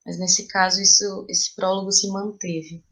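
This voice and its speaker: A woman's voice: medium pitch, level tone, measured pace, bright, forceful tone. A woman with a Brazilian Portuguese accent, answering in a reflective interview as a playwright, thoughtful and explanatory, searching for words.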